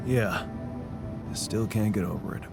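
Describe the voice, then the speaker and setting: Reluctant game protagonist: lowish voice, tired working man, wary and plain-spoken, naturalistic delivery over clear music. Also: measured pace. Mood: subdued. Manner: blunt, plain-spoken, guarded, sceptical. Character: weary, self-doubting, wary working-man miner. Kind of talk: naturalistic video game acting. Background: prominent music.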